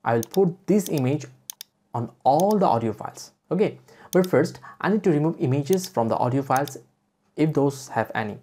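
A woman presenting a software tutorial as a step-by-step voice-over. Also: sits low, lively intonation, normal speed. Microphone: voice-over for screen recording.

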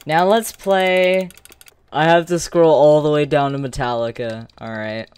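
A person giving casual gameplay commentary in a halting way with false starts, in a room at home. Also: medium pitch, fairly even intonation, a little mellow, clean tone, no room sound. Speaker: streamer demonstrating a game.

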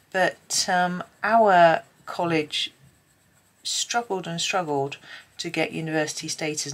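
A low, gravelly British woman thinking aloud at a craft table, rambling hesitantly to whoever is colouring along at home. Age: middle-aged. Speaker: colouring tutorial host. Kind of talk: casual rambling chat while colouring. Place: indoors at a desk.

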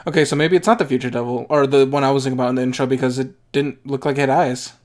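A man's voice: mid-range voice, fairly even intonation, racing. A young man hosting a casual anime reaction, thinking aloud as he watches, with restarts and asides, recorded on a headset in a bedroom. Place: bedroom at home, indoors.

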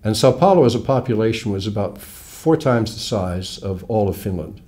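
An American man in his sixties, a guest author explaining things in a wry, measured way, recorded in a small studio on a lapel mic. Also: fairly low pitch, animated, warm tone. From United States. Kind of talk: relaxed interview talk. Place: small studio with red curtain.

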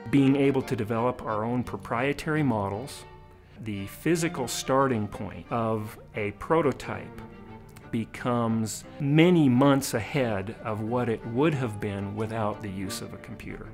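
A man's voice: mid-range voice, a little slow. Middle-aged male engineer, documentary interview, formal technical delivery, faint music underneath. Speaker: director of engineering. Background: background music.

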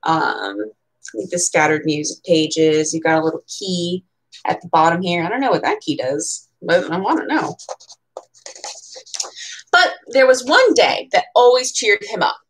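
Animated young American woman reading a storybook. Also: on the low side, a little slow, poor audio. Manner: expressive storytelling, chatty casual asides. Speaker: bookseller reading at a storytime event. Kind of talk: children's picture book read-aloud with casual asides. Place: small room at home.

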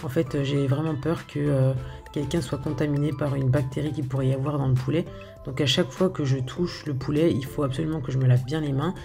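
A woman narrating her home cooking in a relaxed, halting way, stopping often to fill gaps and restart her sentences. Her voice is low-key and mellow with a gravelly fry at the ends of phrases. Faint music plays underneath. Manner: hesitant, many filler sounds and restarts. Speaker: home cook showing her recipes. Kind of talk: casual voice-over for a cooking video.

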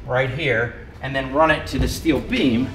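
A man's voice: mid-range voice, unhurried, music in the background, rough recording. A man in his thirties explaining building repair work in a casual, matter-of-fact vlog, recorded on a camera mic in a large empty brick building. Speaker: renovation vlogger. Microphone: camera mic held at arm's length.

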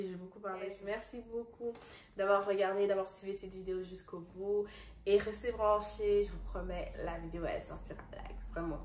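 Young woman vlogger, Dutch native, chatty and halting, mid pitch, roomy phone sound. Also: a little hiss or hum. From Congolese parents, raised partly in the Netherlands. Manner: chatty, restarts often, slips into English words. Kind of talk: casual direct-to-camera chat.

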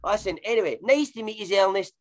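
A man's voice: high voice, expressive, quick pace. A man with a Glaswegian accent playing a blunt, slangy working-class actor who swears through his banter in a comedy sketch. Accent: Glaswegian Scottish. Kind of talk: comedy audio sketch acting.

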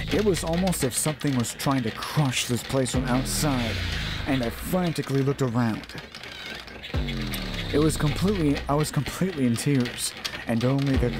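Man reading a horror story over music. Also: medium pitch. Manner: narrates with restarts and repeated phrases. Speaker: let's player. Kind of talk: reading a horror visual novel aloud. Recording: music behind the voice. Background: game music.

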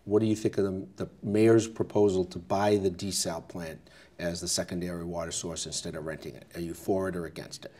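Low, mellow-voiced male interview host. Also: fairly even intonation. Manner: brisk, businesslike questioning. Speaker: TV show host. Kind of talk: local cable interview hosting. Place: small TV studio. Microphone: lapel mic.

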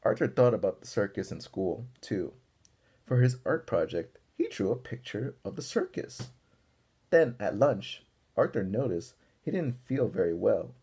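A young man reads a storybook to small children in an unhurried, mellow voice. His pitch moves around a lot, and he speaks to the listeners like an encouraging teacher. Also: very animated, husky. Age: young adult. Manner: encouraging, asks listeners questions. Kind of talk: picture-book read-aloud with asides to children. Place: small room at home, indoors.

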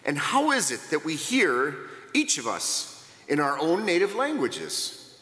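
A middle-aged man reading scripture slowly and expressively at a church lectern. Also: fairly high pitch, wildly swooping pitch, sharp tone. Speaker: scripture reader. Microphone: lectern mic. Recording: roomy church with long reverb.

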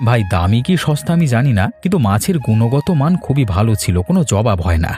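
A man's voice: medium pitch, big pitch swings, a little fast, warm tone, faint music behind, natural voice. A man voicing a genial ageing father-in-law in a cartoon, easygoing, agreeable and meek with his daughter. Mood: pleased, chatty. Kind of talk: Bengali cartoon dialogue dub.

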